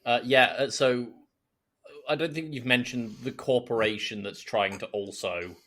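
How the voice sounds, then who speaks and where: A man's voice: mid-range voice, fairly even intonation, measured pace, fairly bright tone. A man co-hosting a podcast, casually questioning and nitpicking in a teasing way. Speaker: podcast co-host. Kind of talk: casual chat, jokey questioning.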